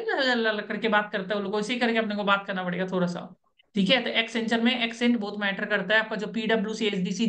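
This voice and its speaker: A man's voice: high voice, a bit bright. Man coaching students over a video call. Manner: brisk, instructive, repeats key points. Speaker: interview coach. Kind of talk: online coaching session.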